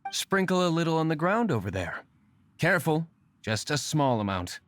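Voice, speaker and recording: An actor playing a cool, reserved young gentleman tavern owner in a video game, speaking formally and with deadpan restraint. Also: a little high, normal speed. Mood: composed.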